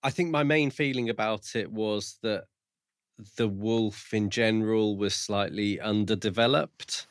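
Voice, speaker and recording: A man co-hosting a podcast, thinking aloud in a measured, tentative way during a casual book discussion. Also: fairly low pitch, smooth, no echo, clean studio sound. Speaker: podcast co-host reviewing books.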